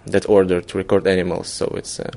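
A man with a Ukrainian accent answers interview questions conversationally. His voice is fairly low with heavy vocal fry. As a non-native English speaker, he moves haltingly and searches for his words. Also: monotone, dark tone. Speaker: filmmaker being interviewed.

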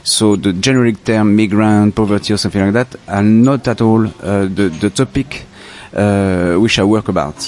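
A man from France with a French accent, a filmmaker answering interview questions in a halting, reflective way as he searches for words. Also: on the low side, a little mellow, a little hiss or hum. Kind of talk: festival interview.

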